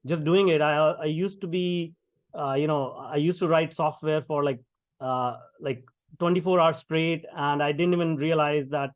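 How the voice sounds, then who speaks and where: A man's voice: a little high, fairly even intonation, moderate pace, no room sound. A middle-aged man from India with an Indian English accent, a podcast guest speaking conversationally with many fillers and restarts. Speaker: guest, tech founder. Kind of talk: podcast interview.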